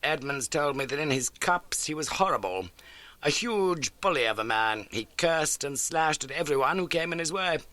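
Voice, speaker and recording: A man performs a keen, masterful detective from an audiobook, pitched up and swooping with theatrical relish. The delivery is bright, brisk and emphatic, every point put incisively. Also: lively intonation, no room sound. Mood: incisive, wry. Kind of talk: audiobook character dialogue.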